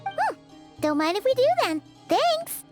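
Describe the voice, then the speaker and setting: A very high, squeaky fairy girl, cheeky and chatty. Her delivery is exaggerated and swoops around in video game dub style, with music behind her. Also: a little slow, heavily acted. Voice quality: squeaky, childlike. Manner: exaggerated, expressive, third-person self-reference. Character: tiny floating fairy companion girl, childlike, cheeky, chatty. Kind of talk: video game character dubbing.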